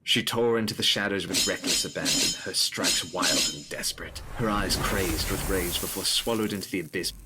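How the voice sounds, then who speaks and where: A man's voice: low voice, normal speed, hardly any pauses, heavy background noise. A man delivering scripted, dramatic narration of a gothic horror story.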